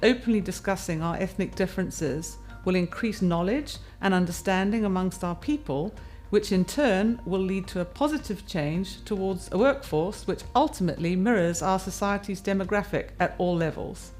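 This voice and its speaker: A woman who is a partner at a firm, speaking earnestly and in a measured way in a corporate testimonial. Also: medium pitch, expressive, darkish tone, faint music behind. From England.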